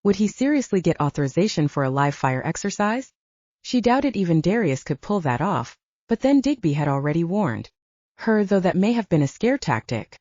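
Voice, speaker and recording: A woman narrates dramatic fiction with a mellow, lowish voice. Her pitch moves around a lot as she tells the story. The sound is dry and slightly muffled. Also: big pitch swings, moderate pace, no echo. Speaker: audiobook narrator.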